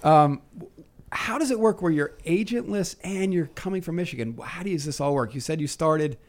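A man's voice: on the high side, lively intonation, normal speed, darkish tone. A man hosting a casual interview show, joking and teasing in a crude way. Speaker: show host.

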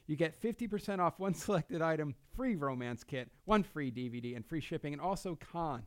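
A man's voice: fairly high pitch, animated, fairly quick, no room sound. A man hosting a podcast from a studio, brash and joking, swearing freely as he reads out plugs and chats with guests. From New Jersey. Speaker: podcast host. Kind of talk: casual podcast chat with read-out plugs.

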